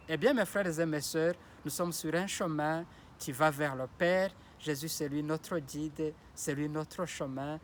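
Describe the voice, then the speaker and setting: A man's voice: fairly high pitch, a little hiss or hum. A priest whose first language is Kinyarwanda or Kirundi gives a solemn Sunday homily and repeats his blessing phrases. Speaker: parish priest.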